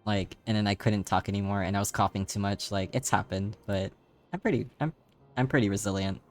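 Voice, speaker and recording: A man streaming live, chatting casually with a slightly raspy, tired voice and rambling, filler-heavy talk. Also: lowish voice, fairly even intonation, brisk, faint music behind. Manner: rambling, many fillers, laughs often.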